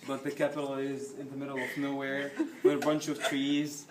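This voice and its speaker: A man's voice: medium pitch, monotone, moderate pace. A young man from Egypt, a student answering interview questions casually and hesitantly, with lots of fillers. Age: young adult. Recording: echoey room with background noise.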